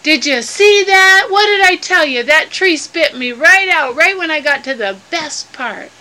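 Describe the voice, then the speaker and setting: A woman voicing an excitable, gullible, greedy male tree-climbing creature in a storybook tale, dramatic and drawing out words. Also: high-pitched, full character voice. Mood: indignant, boastful. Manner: dramatic, exclaiming, drawn-out words.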